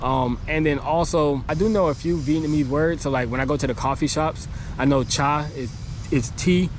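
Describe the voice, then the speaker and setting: A man's voice: fairly high pitch, normal speed. A man who is losing his voice, talking casually to camera outdoors in a rambling, chatty way with lots of fillers. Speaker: travel vlogger. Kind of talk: casual vlog talk to camera. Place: outdoors on a city street near construction. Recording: noisy street with passing traffic. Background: traffic and city street noise.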